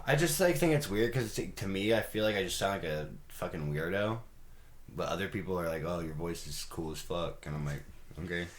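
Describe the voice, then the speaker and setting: A young man with a low, raspy voice, talking casually as a podcast host. His speech is loose and full of restarts and fillers. The room around him rings with a noticeable echo. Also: lively intonation, brisk. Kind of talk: casual chat. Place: home studio.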